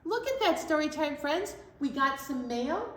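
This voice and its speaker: Slow, animated woman hosting children's storytime. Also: high voice. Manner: playful, expressive, prompting the children with questions. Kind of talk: interactive storytime for preschoolers. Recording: echoey room.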